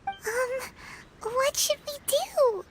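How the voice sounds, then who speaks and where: Squeaky, very high childlike game companion. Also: female voice, expressive, drawn-out words, full character voice. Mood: puzzled, uneasy. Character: tiny childlike female companion creature, chirpy and outspoken. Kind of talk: video game voice acting. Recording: noisy streamed game audio.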